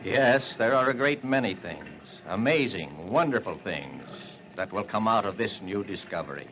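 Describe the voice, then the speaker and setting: A man's voice: lowish voice, lively intonation, a little slow. A man playing an elderly, learned professor full of wonder in 1940s radio drama, musing in a theatrical, old-fashioned way. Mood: wistful wonder. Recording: noisy vintage 1940s radio recording.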